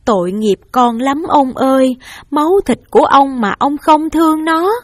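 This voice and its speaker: A frantic middle-aged mother pleads with her husband and an official, voiced by a woman reader. The voice is raised and pushed louder than the reader's own. It is recorded close, with no room sound. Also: sits high, moderate pace, dry recording, voice pushed up, lightly acted. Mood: desperate. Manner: desperate, pleading.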